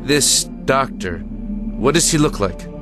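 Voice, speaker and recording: A man's voice: medium pitch, lively intonation, takes it slowly. Guy acting as weary american lieutenant, clipped and commanding, audio drama, music under him. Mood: questioning, firm.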